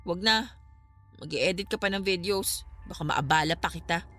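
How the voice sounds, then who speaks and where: A woman's voice: fairly low pitch, normal speed, a bit bright, faint music behind. A Filipino woman playing a troubled young vlogger, haunted, weary and easily hurt, in a radio drama.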